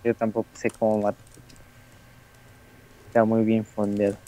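A man's voice: on the low side, completely flat delivery, normal speed, warm tone, a little hiss or hum, rough recording. A young man chatting playfully while playing a video game, teasing and laughing a lot. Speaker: gamer. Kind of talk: casual chat while gaming.

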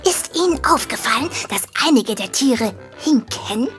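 A woman's voice: a little high, animated, normal speed, a bit bright, faint music behind. A woman playing a polite, inquiring detective in a German audio drama. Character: polite, inquiring detective questioning a stable hand.

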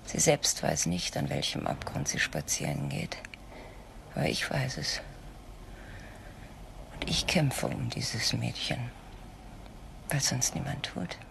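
A protective, controlling woman in a radio crime drama. Her voice is low and gravelly, and she speaks in an insistent, articulate way. A light noise sits on the recording. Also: very animated, slow pace, pauses often, a bit bright, heavily acted, rough recording. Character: controlling, protective woman shielding a frightened younger friend.

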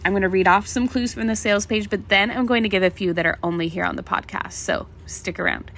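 A woman's voice: medium pitch, quick pace, gravelly, a bit bright, slight background noise. A woman hosting a podcast solo, talking casually in an enthusiastic, chatty manner. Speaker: podcast host.

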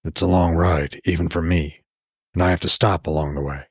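A man voicing a weary, stubborn old Norse god in a terse, measured way for an audiobook. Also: deep voice, steady tone, husky, dry recording, clean studio sound, pitched below the performer's own voice. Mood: firm, weary.

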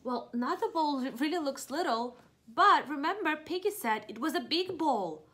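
A woman's voice: high-pitched, lively intonation, moderate pace, fairly bright tone. A young woman doing a picture-book read-aloud for small children, expressively explaining and asking questions. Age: young adult. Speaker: preschool teacher reading aloud. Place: living room indoors.

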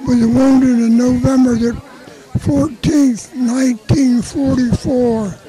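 A man's voice: very high voice, steady tone, slow, dark tone, a faint crowd, poor audio. A man in his nineties from Florida, giving a plain, stop-start statement as a veteran into a handheld mic.

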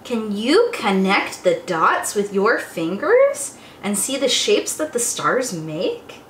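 Young woman, children's storytime read-aloud, slow pace, expressive and exclamatory, roomy echo. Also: mid-range voice. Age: young adult. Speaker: children's storytime teacher and host. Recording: roomy echo, faint background noise.

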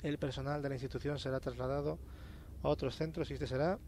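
A man's voice: medium pitch, steady tone, fast talker, heavy background noise. A man with a Castilian Spanish accent giving casual, informal gameplay commentary as a let's play host. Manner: informal, sweary, grumbling to himself. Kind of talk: casual gameplay commentary, reads in-game notes aloud.

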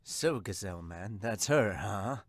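A man acts out a mocking manga villain boss in a lowered voice. He is smug, condescending and impatient, captured dry and close. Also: gravelly, slightly rough, no echo, exaggerated voice.

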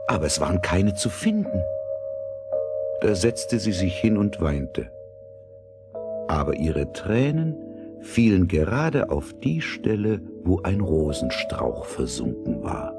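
A man with a husky, breathy voice narrating a scripted fairy tale. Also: lowish voice, wildly swooping pitch, slow, loud music behind the voice.

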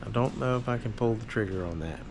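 A man's voice: on the low side, fast talker, gravelly. A man in his fifties, filming a casual vlog in a liquor store, talking keenly about bottles and prices, with the camera held at arm's length. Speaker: vlogger. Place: large liquor store, indoors. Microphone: action camera at arm's length. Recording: noisy store ambience.

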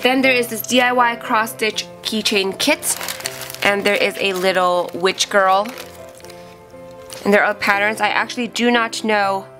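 Young woman, sick and nasal, chatty unboxing vlogger, crisp bright tone over faint background music. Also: medium pitch. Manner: chatty, thinking aloud with fillers. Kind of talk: casual unboxing review. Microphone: off-camera voice over hands-only tabletop shots.

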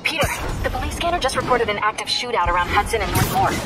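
Woman playing brisk young ally, loud music behind. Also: medium pitch, lively intonation, lightly acted. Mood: urgent. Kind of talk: video game voice acting. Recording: voice under loud game music.

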